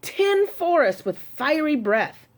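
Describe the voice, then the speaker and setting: A woman doing a bright clever young princess. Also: high voice, sing-song, slow pace, pitched above the performer's own voice, full character voice. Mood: eager.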